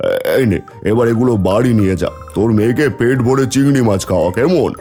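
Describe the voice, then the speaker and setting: Guy doing a bossy village landlord dad in a cartoon, dramatic, lively pitch, faint music behind. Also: fast talker, natural voice. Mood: generous. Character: bossy village landowner, an emotional doting father. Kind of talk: Bengali cartoon fairy tale dubbing.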